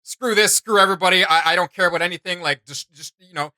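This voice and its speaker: A man's voice: sits high, fast, pushed and bright, dry recording. A young man chatting casually as a reaction video host, enthusiastic and rambling with plenty of slang. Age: young adult. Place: small home studio room.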